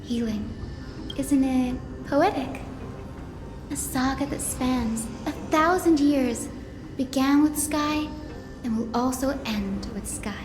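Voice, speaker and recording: An actress playing a gentle, earnest young granddaughter and devoted healer in a dramatic fantasy game. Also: female voice, sits high, takes it slowly. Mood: hopeful, wistful. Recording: reverberant studio voice under music.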